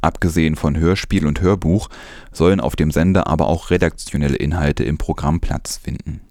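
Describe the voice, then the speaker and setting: Low-pitched man reading the news. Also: fairly even intonation, fairly quick, darkish tone, no room sound, studio quality. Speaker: news presenter. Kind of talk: scripted audio news reading.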